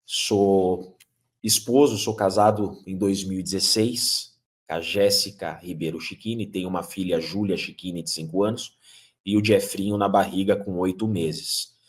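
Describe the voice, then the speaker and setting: A man's voice: sits low, fairly even intonation, normal speed. A man, a lawyer explaining legal matters emphatically, posing rhetorical questions as he lectures. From Curitiba, Brazil. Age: 30s.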